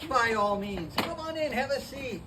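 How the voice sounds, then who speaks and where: Man, history interpreter, high voice, animated and inviting, distant mic, noisy outdoor ambience. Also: moderate pace. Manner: animated, inviting, asks the audience questions. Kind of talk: storytelling to visitors. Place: historic park.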